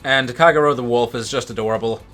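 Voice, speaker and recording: A man with an average-pitched voice chats casually as he co-commentates a video game. He talks like an opinionated geek and swears freely. Game music plays faintly behind him. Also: fast, fairly bright tone. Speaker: co-commentator on a let's play. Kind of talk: casual chat over gameplay.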